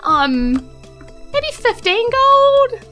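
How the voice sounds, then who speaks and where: A woman's voice: extremely high pitch, sing-song, drawn-out words, bright, forceful tone, soft background music, voice pushed up, exaggerated voice. A woman voicing a friendly, slightly hesitant female shopkeeper in a fantasy tabletop game, casual and obliging.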